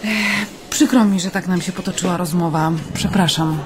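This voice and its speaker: An actress in a naturalistic radio drama playing a friendly Polish émigré woman, gentle, informal and slightly hesitant as she converses. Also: lowish voice, steady tone, moderate pace, music in the background, natural voice. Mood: consoling, apologetic.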